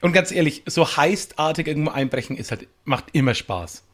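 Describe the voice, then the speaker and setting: Higher-voiced man in casual chat. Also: animated, fairly quick. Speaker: podcast co-host.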